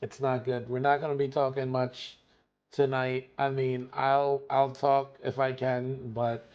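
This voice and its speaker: A man's voice: medium pitch, level tone, unhurried, dull audio. A man streaming games who is losing his voice, chatting casually with viewers and rambling with many fillers. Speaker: gaming streamer.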